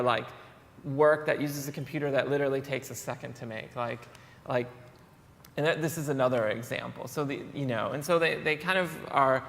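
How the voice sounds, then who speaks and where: A man, an artist, answering onstage questions in a halting, trailing way full of fillers. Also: medium pitch, vocal fry, fairly bright tone. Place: conference hall stage. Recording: long hall reverb.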